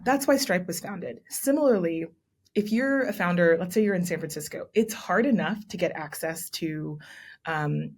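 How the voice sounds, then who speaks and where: A young adult woman speaks in a lowish voice as a podcast guest. She explains things clearly and at length, often adding a quick "right?" or "um". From born in Nigeria, raised in Texas. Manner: articulate, explanatory, with frequent "um" and "right?" asides. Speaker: guest, commissioning editor. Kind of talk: conversational interview answers.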